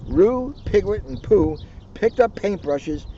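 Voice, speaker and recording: A man reading a children's storybook aloud in an animated way, with casual asides. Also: high-pitched, slow pace, creaky. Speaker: police officer reading a children's book aloud. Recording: noisy, muffled recording.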